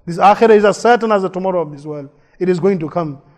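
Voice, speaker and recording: A man's voice: high-pitched, fast, a little mellow. A man, the imam, giving an earnest Friday sermon in a mosque, repeating key phrases and quoting Arabic verses. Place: mosque prayer hall. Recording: long hall reverb.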